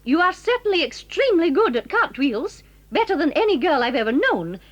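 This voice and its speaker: Commanding British school games teacher, exasperated, high swooping voice, radio drama, dulled sound. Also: female voice, big pitch swings, a little fast. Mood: firm, flustered. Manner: commanding, scolding. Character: bossy, exasperated games mistress at girls' school. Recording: slightly dull lo-fi recording.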